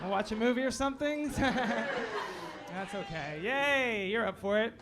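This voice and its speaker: A man's voice: high-pitched, lively intonation, a little slow, heavy background noise. A Canadian man with a Canadian accent doing stand-up on a club stage, rambling and riffing with the audience. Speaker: stand-up comedian. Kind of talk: stand-up set with crowd work. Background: audience laughing.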